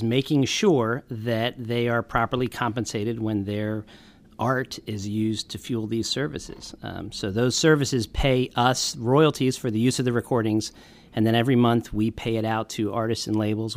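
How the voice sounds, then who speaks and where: A man who heads a nonprofit, speaking as an interview guest and explaining his organization in a friendly way. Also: on the low side. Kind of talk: interview answers.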